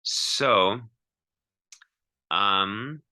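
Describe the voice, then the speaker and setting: A man giving an informal, step-by-step math lecture as a teacher, thinking aloud in a halting way and repeating himself. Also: fairly low pitch, bright, forceful tone, clean tone.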